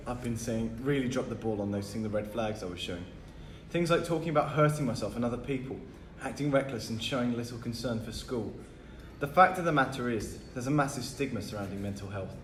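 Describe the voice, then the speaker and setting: A man's voice: mid-range voice, animated, a little fast, fairly bright tone, natural voice. A man playing a troubled, bitter teenage schoolboy in a script read-through. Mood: sullen. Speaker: actor at a script table read. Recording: roomy echo with faint noise.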